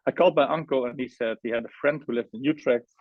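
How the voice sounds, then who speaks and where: A man's voice: medium pitch, fast talker, dry recording. A man reads a formal prepared presentation on a webinar, stumbling over the odd word. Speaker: housing organisation representative presenting at a webinar. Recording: dull, band-limited webinar audio.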